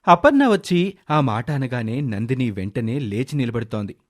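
Mid-pitched male story narrator. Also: big pitch swings, moderate pace.

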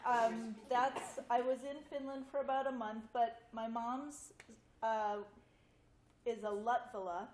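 A woman's voice: fairly high pitch, fairly even intonation, takes it slowly. An American woman in her forties, a guest speaker telling family stories informally to a group. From United States. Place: large wood-paneled community hall. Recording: roomy echo in a hall.

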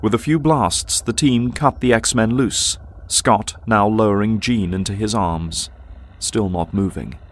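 A man narrating a superhero audio drama in a grave, measured, scripted storytelling style. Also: on the low side.

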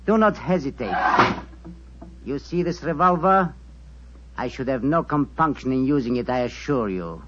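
An actor playing a cold, scheming male villain who makes slow, polite threats in a radio drama. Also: mid-range voice, lively intonation, a bit bright. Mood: menacing. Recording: dull old radio broadcast.

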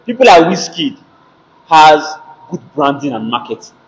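A man's voice: on the high side, very animated, normal speed. A young man with a Nigerian accent chatting and debating casually, emphatic and repeating himself to get his point in. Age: young adult. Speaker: participant in a music debate. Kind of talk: casual debate chat, English mixed with Pidgin. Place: indoor gym, medium-sized room. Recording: noisy gym room pickup.

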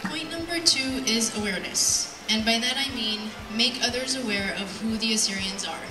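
A young adult woman giving an earnest, measured prepared speech at a podium in an event hall, reading from notes. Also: fairly high pitch. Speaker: speaker at a community event. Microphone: handheld mic on PA, picked up by distant camera. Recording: roomy, distant sound with music.

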